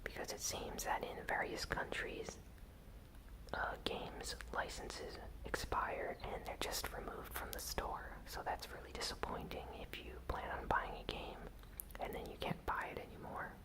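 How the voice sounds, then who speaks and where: A host whispering a news recap in ASMR style, softly and with gentle pauses. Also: poor audio. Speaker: host of a gaming news channel.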